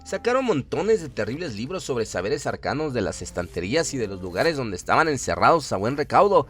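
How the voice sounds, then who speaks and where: A man's voice: medium pitch, very animated, fairly quick, a bit bright. A man narrating a scripted horror story in a dramatic radio style. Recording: prominent music under the voice. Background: music.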